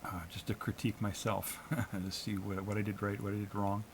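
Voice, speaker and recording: A low-pitched American man talks off the cuff to a livestream. He is hesitant and halting, feeling his way through each thought. The audio has clear background noise. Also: little pitch movement. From New England, US. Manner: hesitant, halting, self-critical. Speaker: amateur live streamer. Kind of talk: casual unscripted solo livestream chat.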